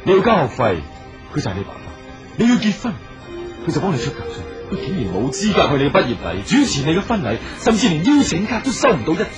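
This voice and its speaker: A man's voice: a little high, huge pitch swings, fast. A man playing an earnest, persistent businessman in a radio drama. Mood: indignant. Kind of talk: Cantonese radio drama acting. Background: music.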